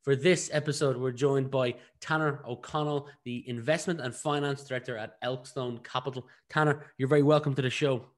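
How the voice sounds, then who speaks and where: Informal Irish man with mid-pitched voice. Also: fairly even intonation, fairly quick, hardly any pauses. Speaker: podcast host. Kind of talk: conversational interviewing.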